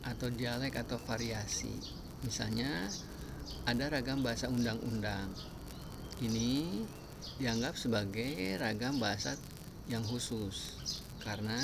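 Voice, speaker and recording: Measured male teacher, birdsong behind. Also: mid-range voice. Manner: measured, listing examples one by one with pauses. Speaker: language teacher. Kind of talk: spoken lecture on language varieties. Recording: clear background noise with birdsong.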